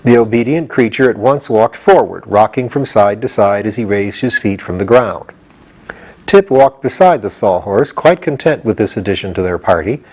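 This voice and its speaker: A man's voice: on the low side, expressive, normal speed, darkish tone, poor audio. A man reading a children's story as scripted audiobook narration. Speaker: audiobook reader.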